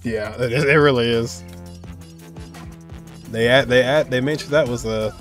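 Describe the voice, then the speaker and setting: Chill young guy reacting to a game cutscene, slow talker, game music low behind. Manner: relaxed, chatty, reacting aloud. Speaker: gaming reaction streamer. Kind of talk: casual reaction commentary.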